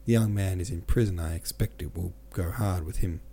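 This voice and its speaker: A man voicing a gossipy, smiling adjutant in an audiobook, talking smoothly and at length. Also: deep voice, brisk, dark tone. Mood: chatty. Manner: smooth, talkative storytelling. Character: smiling gossipy male Russian adjutant, chatty.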